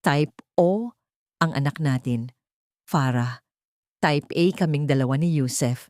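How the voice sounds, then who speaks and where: A woman's voice: low-pitched, measured pace, no room sound, clean studio sound. Grave, troubled Filipino husband read by a woman. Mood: confused suspicion. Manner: quiet, grave. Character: serious, troubled rich husband and father, growing suspicious. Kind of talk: audiobook drama.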